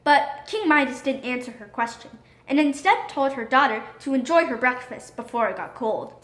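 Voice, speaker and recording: High-pitched, animated woman storyteller. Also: normal speed. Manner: expressive, dramatic read-aloud delivery. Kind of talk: scripted children's storybook narration. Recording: roomy echo.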